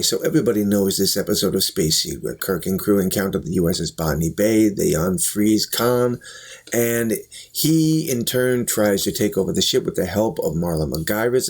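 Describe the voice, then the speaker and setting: A man with a husky voice giving an earnest, deliberate explanatory review and commentary, as a vlogger. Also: lowish voice. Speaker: YouTube reviewer. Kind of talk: talk-to-camera review and commentary.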